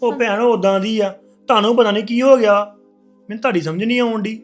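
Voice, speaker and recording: Man acting as husband, high voice, calls out, questioning, pushing back. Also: fast talker, soft background music, close to an everyday voice. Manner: calling out, questioning. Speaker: husband in a family drama. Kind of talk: domestic drama acting.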